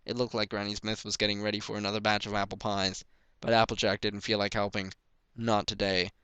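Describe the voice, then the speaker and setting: A man narrates a story from a script. His voice sits on the low side and is smooth and clear. The sound is dry, with little room in it. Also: little pitch movement, fairly quick, pushed and bright, no room sound. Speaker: story reader.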